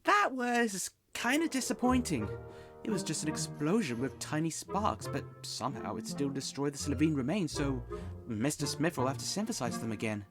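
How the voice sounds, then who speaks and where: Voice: medium pitch, big pitch swings, normal speed, over music. A performer playing a cheeky, sardonic teenage schoolboy in an audio drama, with a casual way of talking.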